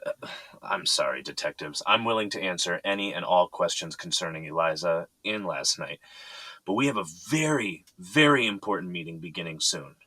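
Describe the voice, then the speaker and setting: A man's voice: deep voice, lively intonation, normal speed, sharp tone, no echo, full character voice. An actor playing a composed, evasive but polite businessman in an audio drama. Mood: polite, strained.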